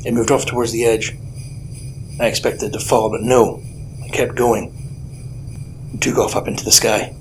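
A man playing a gruff, weary old detective with a deadpan, sardonic manner, narrating a noir audio drama. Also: medium pitch, moderate pace, pauses often, slight background noise, lightly acted, poor audio. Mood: brooding.

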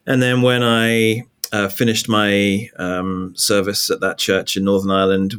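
A man in his forties from England with an English accent, a pastor telling his story in a relaxed interview over a call. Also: lowish voice, clear, resonant tone. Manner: relaxed storytelling, frequent ums, light laughs. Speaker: pastor, guest. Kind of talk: casual interview talk. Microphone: video call.

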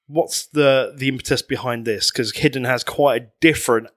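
A man's voice: mid-range voice, normal speed, fairly bright tone, no room sound, studio quality. A man hosting a podcast who reads a scripted intro and then asks his guests questions in a conversational way. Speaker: podcast host. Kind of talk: podcast interview.

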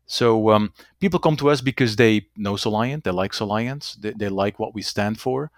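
Low-voiced man, halting speech. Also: no echo, studio quality. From Belgium. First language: Dutch. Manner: halting, repeats words, tags sentences with "right?". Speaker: company executive guest. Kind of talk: conversational interview answers.

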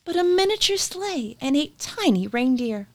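A woman's voice: high voice, very animated, normal speed, no room sound. A woman reader giving a dramatic storybook reading of rhyming verse.

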